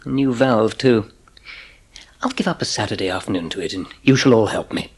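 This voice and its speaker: A man's voice: fairly low pitch, a little fast, breathy edge. An actor playing a kindly, jovial father with a hearty, old-fashioned manner in an audio drama. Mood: cheerful, reassuring. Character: kindly, jovial middle-class English father.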